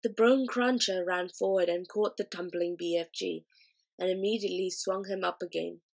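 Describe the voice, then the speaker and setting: A woman narrating a children's story aloud in an expressive, steady way. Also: on the low side, normal speed, vocal fry. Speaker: audiobook reader.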